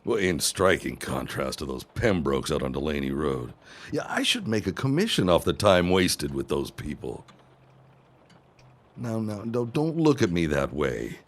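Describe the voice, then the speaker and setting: An actor playing a smug, sly, seductive gentleman in a period audio drama, speaking in a suave, languid way. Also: low voice, very animated, measured pace, exaggerated voice.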